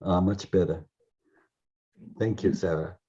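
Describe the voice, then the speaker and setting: A man's voice: sits low, steady tone, measured pace, warm tone, slightly rough. An older man with an American accent, chatting casually as a professor on a video call. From United States, grew up in New York. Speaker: visiting professor and speaker. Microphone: computer video call microphone. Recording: dull, muffled video call audio.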